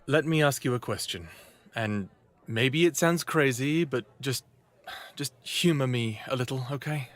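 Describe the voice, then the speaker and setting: A man's voice: medium pitch, a little slow, fairly bright tone, close to an everyday voice. An actor playing an earnest, hesitant man of thirty-eight who speaks persuasively in a fiction podcast drama. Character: earnest 38-year-old human rebel-idealist, a smartass former captive.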